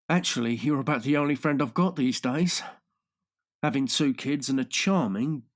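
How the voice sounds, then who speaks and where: A man voicing a tired, exasperated police detective and worried friend in an audiobook, blunt and pleading. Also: mid-range voice. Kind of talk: audiobook character dialogue.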